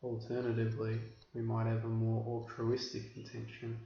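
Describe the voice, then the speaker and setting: Male meditation teacher, very monotone delivery, measured and instructional, captured in an echoey space. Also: mid-range voice, gravelly. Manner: measured, instructional, with deliberate pauses. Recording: roomy, dull recording with background hiss.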